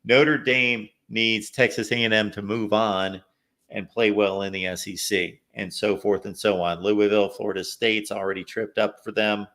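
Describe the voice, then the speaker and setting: A man hosting a live call-in sports show, a little raspy from no sleep, chatting through viewer comments and game analysis. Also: lowish voice, little pitch movement, normal speed, sharp tone. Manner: reads viewer comments aloud, conversational analysis. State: slightly raspy from lack of sleep. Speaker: host.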